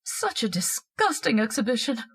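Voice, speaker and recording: A woman's voice: fairly high pitch, very animated, normal speed, a bit bright, husky, heavily acted. A woman playing a snobbish, scolding wife and party hostess in old-time radio horror style. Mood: scornful, aggrieved. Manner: haughty, scolding, theatrical declaiming.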